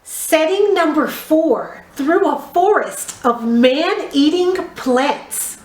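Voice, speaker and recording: High-pitched, animated woman teaching young children. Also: takes it slowly. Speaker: drama teacher. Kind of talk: instructional video lesson for young children. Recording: roomy, echoey sound.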